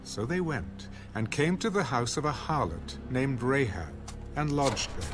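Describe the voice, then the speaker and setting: A man's voice: medium pitch, very animated, a little slow. A man reading scripted narration for a dramatized audio Bible. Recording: music under the voice.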